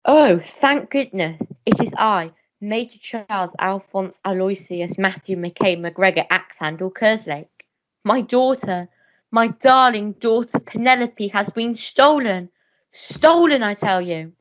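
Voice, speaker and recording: A blustering old major, acted by a woman, declaiming grandly. The voice sits at a middling pitch and repeats words for melodramatic effect. The radio-play recording sounds slightly dull. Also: animated, unhurried, close to an everyday voice. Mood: distraught. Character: blustering older major, distraught melodramatic father.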